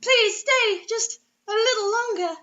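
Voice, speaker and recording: A woman's voice: extremely high pitch, a little slow, bright, forceful tone, highs cut off, pitched above the performer's own voice, full character voice. A woman voicing a lovesick young blonde actress who begs with exaggerated melodrama, in a comic story reading. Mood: pleading, tearful. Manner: exaggerated begging.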